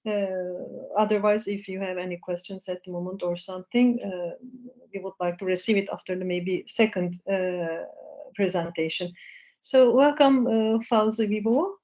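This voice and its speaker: A woman's voice: mid-range voice, moderate pace, warm tone. A woman moderating an academic symposium session in a polite, tentative way, heard over a lo-fi online call. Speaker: session chair. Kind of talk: informal spoken moderating of a symposium.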